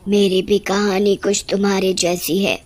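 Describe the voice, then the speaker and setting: A woman voicing a small witch ghost in a cartoon story, sympathetic and quietly vengeful, speaking in a measured, reassuring way. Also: mid-range voice, little pitch movement, voice pushed down. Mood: sad, confiding. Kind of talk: animated cartoon story dialogue.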